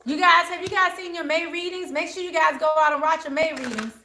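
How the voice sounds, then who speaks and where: Bright, chatty woman reading tarot cards. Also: very high-pitched, fairly quick. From born in Texas, raised in the Maryland and DC area. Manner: chatty, laughs often, talks to viewers. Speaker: tarot reader. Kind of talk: live tarot reading chat. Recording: echoey room with faint noise.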